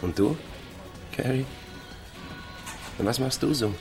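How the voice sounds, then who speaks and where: A man's voice: mid-range voice, slow pace, over music. A man playing a nosy, idle, over-familiar villager in his thirties, making laconic, prying small talk in a radio drama. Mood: casual, prying. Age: 30s. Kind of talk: naturalistic radio drama dialogue.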